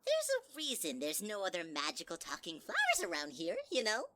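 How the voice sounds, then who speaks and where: A woman's voice: huge pitch swings, a little fast, gravelly, fairly bright tone, dry recording, heavily acted. A woman voicing a sweet-talking, sinister male flower in a fan audio drama, syrupy and teasing. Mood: sugary. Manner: syrupy, teasing, swings high and low.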